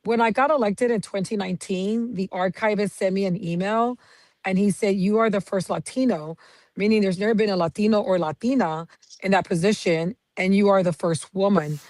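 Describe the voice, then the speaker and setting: A woman in her fifties from San Antonio, Texas, a county clerk giving steady, detailed answers as a guest. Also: medium pitch, a little fast, dry recording. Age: 50s. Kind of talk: interview answers.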